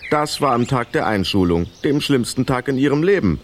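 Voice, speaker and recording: A man's voice: medium pitch, animated, moderate pace, slight background noise. A man narrating a children's radio play as a scripted storyteller.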